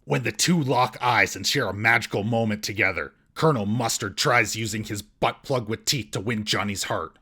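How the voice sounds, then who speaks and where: Forceful, husky male reviewer. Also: lowish voice, normal speed, few pauses. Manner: sarcastic, mocking. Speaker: host, movie reviewer. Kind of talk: scripted comedic review narration.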